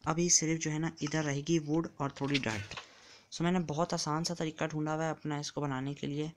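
A man's voice: fairly high pitch, fairly even intonation, fairly quick, slight background noise. A man giving casual commentary while playing a block-building game, chatty and counting blocks aloud as he builds. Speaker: gamer.

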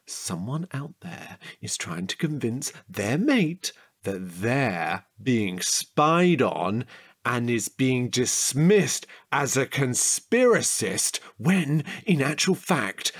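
An actor playing a chatty, childlike, curious man who is a bit slow-witted, in a playful comedic audio drama. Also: mid-range voice, slightly rough, exaggerated voice. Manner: playful, excitable, drawn-out delivery.

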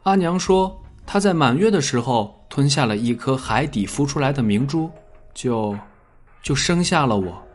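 A man voicing a small, guarded and earnest orphan boy for an audiobook, in a soft but prickly manner. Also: medium pitch, expressive, moderate pace, natural voice. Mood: wistful. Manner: soft, murmuring, turning sharp when challenged. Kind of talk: audiobook character acting.

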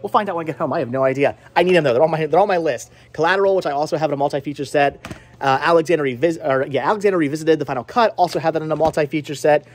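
A man in his forties with a slightly high voice, vlogging as he shops indoors. He rambles playfully, breaking off and correcting himself, in a casual, chatty style into a camera held at arm's length. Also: very fast. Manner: rambling, self-interrupting, playful. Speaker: vlogger and movie collector. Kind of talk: casual chatty shopping vlog. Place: indoor video and game shop. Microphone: handheld camera at arm's length.

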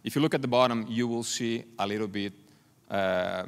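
A man's voice: mid-range voice, normal speed, smooth. A man in his forties from Brazil, a native Portuguese speaker with a Brazilian accent, giving a conference presentation. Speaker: client representative presenting at a conference. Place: large conference hall stage, indoors. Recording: reverberant hall, stage PA.

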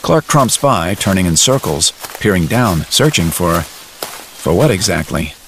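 A male storyteller narrates an audio drama in measured, literary present tense. He sets each detail out descriptively, with faint noise and ambience behind him. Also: low voice, lively intonation, fairly bright tone, full character voice.

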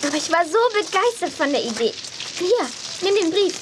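A woman playing an excitable, cheeky, chatty young girl in a children's audio drama, eager and slangy. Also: very high-pitched, brisk, noisy background. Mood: persuading.

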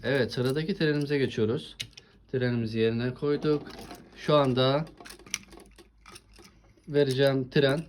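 A woman's voice: rumbling low, steady tone, moderate pace, pauses often, darkish tone, a little hiss or hum. A woman hosting a casual toy showcase with a child, chatting in an encouraging way and often asking questions. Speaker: host presenting toy trains with a child.

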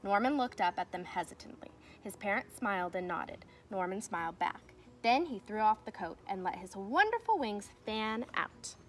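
A young woman reading a children's storybook aloud like a teacher, with expressive, emphatic delivery. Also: medium pitch, normal speed, few pauses. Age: young adult. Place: outdoors in a fenced backyard. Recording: noticeable outdoor ambient noise.

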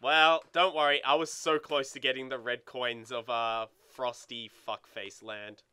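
A man co-hosting a let's play with casual, animated, sweary gaming commentary. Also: medium pitch, measured pace, clear, resonant tone.

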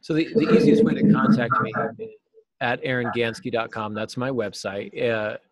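A middle-aged man giving conversational advice as an interview guest, often pausing mid-thought as he phrases things. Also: mid-range voice, fairly even intonation, no room sound. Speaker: guest, novelist and podcast host. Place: small cluttered office, indoors.

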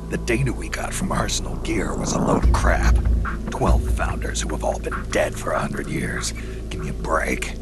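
An actor playing a grizzled, weary, cynical veteran soldier in a video game, with a gravelly rasp and terse, gruff delivery. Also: male voice, very deep voice, exaggerated voice. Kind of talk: video game cutscene acting.

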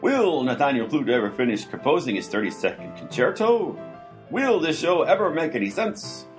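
A man's voice: a little high, very animated, moderate pace, soft background music. A man announcing in a mock-dramatic radio serial style.

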